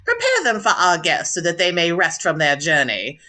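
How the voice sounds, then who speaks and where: A woman's voice: fairly low pitch, wildly swooping pitch, normal speed, bright, forceful tone, exaggerated voice. A woman playing an imperious, ailing elderly baroness in a radio drama, speaking in a grand, commanding, formal way.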